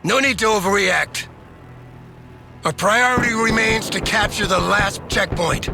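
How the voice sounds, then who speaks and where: An actor playing a gung-ho, authoritative American general giving emphatic orders in a military strategy game. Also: male voice, high voice, unhurried, creaky, over music. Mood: confident, firm. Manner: commanding, emphatic.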